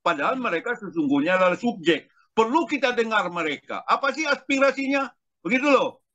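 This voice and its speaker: High-voiced older man, bright tone. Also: lively intonation, brisk, muffled recording. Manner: agreeing, reflective. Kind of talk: casual panel discussion.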